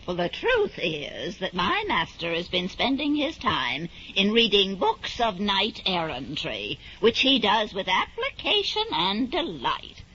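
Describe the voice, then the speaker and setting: An actress playing a gentleman's servant, telling of her master expressively in an old radio drama. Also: medium pitch, huge pitch swings, unhurried, hardly any pauses. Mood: confiding. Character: gossipy female servant of a gentleman's household. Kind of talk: 1950s radio drama storytelling. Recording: noisy, dull vintage radio recording.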